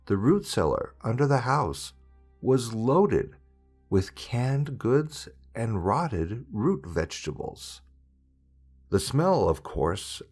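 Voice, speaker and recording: A man reading a scary story as scripted narration, in a dramatic storytelling manner with deliberate pauses. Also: fairly low pitch. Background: background music.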